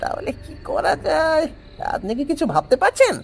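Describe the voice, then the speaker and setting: A woman's voice: high voice, big pitch swings, normal speed, creaky, heavily acted. A woman voicing a scheming male village henchman who talks in a sly, sneering way in a cartoon story. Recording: soft background music.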